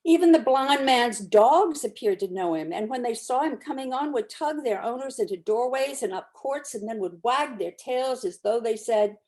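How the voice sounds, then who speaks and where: Expressive woman narrating a classic story. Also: a little high, moderate pace, hardly any pauses. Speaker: narrator in a community reading. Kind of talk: dramatic scripted storybook narration.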